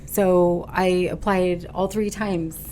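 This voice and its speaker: A woman guest on a podcast, telling her personal story in casual, halting talk full of fillers. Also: on the low side, fairly even intonation, warm tone, a little hiss or hum. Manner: stubborn, determined. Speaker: podcast guest, boutique owner. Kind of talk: casual conversational interview.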